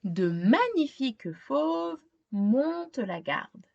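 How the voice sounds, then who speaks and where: Expressive woman reading slowly, lively swooping pitch. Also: vocal fry, dry recording, muffled recording. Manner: expressive, pausing to ask simple questions. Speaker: storytime reader. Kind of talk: children's picture book read-aloud with questions to listeners.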